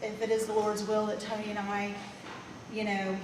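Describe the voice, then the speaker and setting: A woman giving a halting, heartfelt tribute to her pastor and his wife, full of "um"s. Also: medium pitch, completely flat delivery, roomy sound, big-room sound, far from the mic, noisy background. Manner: halting, with many "um"s and "you know"s. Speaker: church member giving a tribute. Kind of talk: emotional, halting tribute speech. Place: large church fellowship hall.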